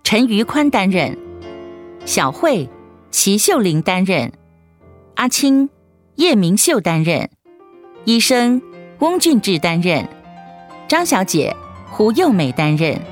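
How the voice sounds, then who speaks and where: A woman's voice: medium pitch, sing-song, slow, long pauses. A woman reading a scripted announcement as a radio drama announcer. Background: faint music.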